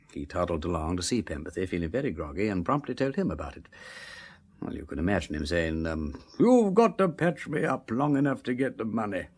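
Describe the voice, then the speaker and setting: Posh english gentleman sleuth for radio drama, low voice that swoops about, breezy and flippant but kind. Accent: upper-class English. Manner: breezy, drawling, old-fashioned aristocratic slang. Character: urbane, flippant, kindly gentleman amateur detective. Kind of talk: full-cast radio drama acting.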